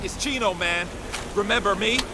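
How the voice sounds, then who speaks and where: A man's voice: sits high, moderate pace, very noisy, close to an everyday voice. A man playing a cocky, streetwise Marine buddy in a video game, bantering and teasing. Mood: cocky, friendly. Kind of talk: scripted military shooter game dialogue.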